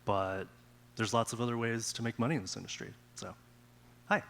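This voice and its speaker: A man who founded an artist management company, introducing himself on a workshop panel in a measured, explanatory way. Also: medium pitch, a bit bright, a little hiss or hum. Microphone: handheld mic.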